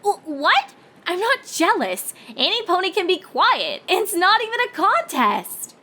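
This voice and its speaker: A woman plays a bookish, skeptical female cartoon pony. The high voice jumps around in pitch, emphatic and easily flustered. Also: big pitch swings, a little slow, pushed and bright, exaggerated voice. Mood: defensive, indignant. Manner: incredulous, emphatic. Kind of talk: character voice in fan fiction reading.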